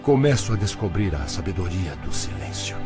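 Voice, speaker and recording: A man's voice: deep voice, very animated, normal speed, loud music behind the voice. An actor playing an anguished, hot-tempered but repentant grandfather spirit in an audio novel, pleading and emotional, in an emphatic confessional manner. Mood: anguished, remorseful. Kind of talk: dramatised audio novel acting.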